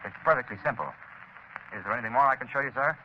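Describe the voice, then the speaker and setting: An actor playing a polite, matter-of-fact army lieutenant pilot in 1930s radio drama style. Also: male voice, medium pitch, rapid-fire, close to an everyday voice. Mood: explaining. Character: brisk, helpful male army lieutenant test pilot. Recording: muffled, noisy 1939 radio broadcast.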